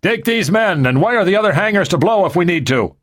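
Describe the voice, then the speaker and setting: A man's voice: sits high, expressive, brisk, fairly bright tone, voice pushed up. A man voicing a curt male mercenary commander who gives clipped, authoritative orders. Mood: commanding.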